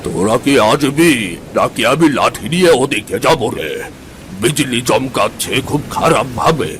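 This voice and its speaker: An actor playing a stern, cautious old village grandfather in a cartoon, slow and scolding. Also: medium pitch, sing-song, fairly bright tone, a little hiss or hum. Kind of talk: cartoon story acting.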